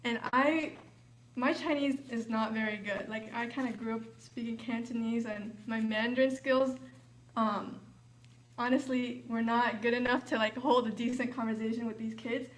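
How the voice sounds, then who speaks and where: A woman's voice: on the high side, steady tone, normal speed. A young woman, a volunteer teacher, giving a casual, chatty talk, often saying "like" and "um". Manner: chatty, uses filler like and um. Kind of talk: casual presentation. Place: hotel event room.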